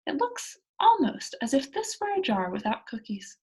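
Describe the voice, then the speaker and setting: Woman playing a bold, high-voiced, cocksure storybook girl. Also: big pitch swings, normal speed, darkish tone. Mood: matter-of-fact. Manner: breezy, boastful, matter-of-fact. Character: bold, cheerful, cocksure young girl adventurer. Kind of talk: children's storybook character read aloud.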